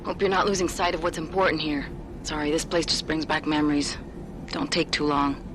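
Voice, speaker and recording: A woman's voice: low voice, level tone, a little fast, very noisy, close to an everyday voice. A woman playing an impatient, uneasy covert contact in a video game. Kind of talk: video game cutscene acting. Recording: loud music behind.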